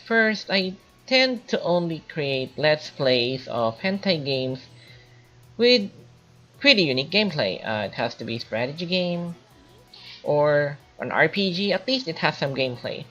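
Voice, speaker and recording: A woman giving an informal spoken video game review as a channel host, haltingly, thinking aloud and repeating phrases. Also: deep voice, a bit bright. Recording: dull lo-fi mic, faint music behind.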